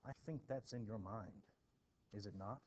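A man voicing an earnest, formal minister from colonial times, measured and questioning, in a play reading. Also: fairly low pitch, a little mellow, close to an everyday voice. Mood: probing. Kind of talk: expressive classroom play reading. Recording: dull, noisy home recording.

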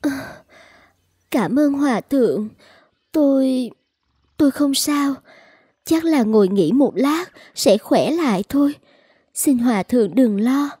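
A woman voicing a lovesick, scheming young woman who plays helpless, plaintive and coquettish, in an animated folk tale. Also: sits high, takes it slowly, long pauses, husky, no room sound, clean studio sound, full character voice. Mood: meek, grateful. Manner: plaintive, coquettish, emotionally dramatic.

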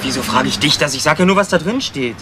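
Man acting young homeless guy, fairly high voice, cheeky, indignant, streetwise slang, radio crime play, noisy outdoor traffic. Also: lively intonation, fast talker, natural voice. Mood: cheeky, curious. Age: young adult. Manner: colloquial, indignant, repetitive. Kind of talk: 1980s German crime radio drama acting.

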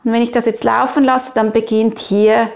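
High-voiced Swiss woman giving a tutorial. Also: level tone, normal speed, darkish tone. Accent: Swiss German. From Switzerland. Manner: clear, instructional, addresses the viewer directly. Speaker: presenter of app tutorials. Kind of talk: step-by-step screen tutorial.

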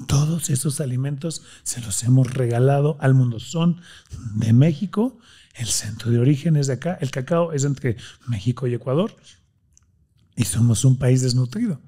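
A man's voice: mid-range voice, mellow, slightly rough. A man in his thirties with a Mexican accent, a guest speaking passionately in a studio interview with a desk microphone. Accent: Mexican Spanish. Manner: passionate, gestures a lot, lists things rapidly. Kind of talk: animated interview conversation. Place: studio with blue-lit curtains. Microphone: studio mic on a desk stand.

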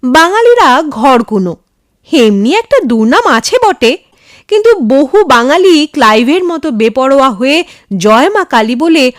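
A woman reading a story aloud as narrator, in a measured, expressive storytelling manner. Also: a little high, dry recording, clean studio sound. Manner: measured, dramatic storytelling delivery. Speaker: storyteller, channel host.

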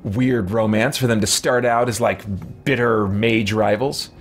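A man's voice: lowish voice, fairly even intonation, measured pace, faint music behind. A man in his forties giving casual, reflective, rambling gameplay commentary as a streamer. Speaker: game streamer. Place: small room with shelves, indoors. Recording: game ambience as clear background noise.

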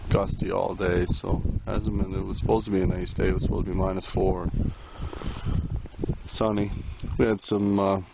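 A man casually narrating an ice-fishing trip, halting with many fillers, recorded outdoors on a frozen lake. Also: sits low, little pitch movement, creaky. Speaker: outdoor vlogger. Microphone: handheld camera mic. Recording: wind noise on a lo-fi camera mic.

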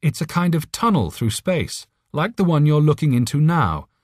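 A man's voice: a little high, sing-song, normal speed, darkish tone, no echo, clean studio sound, pitched above the performer's own voice. A man voicing an alien telepathic voice for an audiobook, urgent, friendly and earnest, with careful phrasing. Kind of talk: audiobook character voice.

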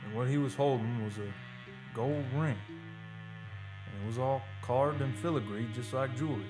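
A man's voice: mid-range voice, a little slow, over music, lightly acted, low-quality recording. An actor playing a plain-spoken oil-rig worker in a live old-time radio play, talking in a folksy, conversational way as he tells his tale. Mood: hushed wonder.

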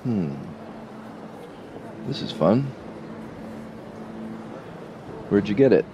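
An actor playing an insinuating, falsely friendly space-station policeman, drawling and halting as he needles, in an audio drama. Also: on the low side, animated, highs cut off, background noise. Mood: needling.

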